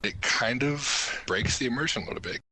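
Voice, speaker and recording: A man's voice: medium pitch, lively intonation, a little fast, sharp tone, slight background noise, low-quality recording. A man on a podcast panel, giving explanatory advice in conversation.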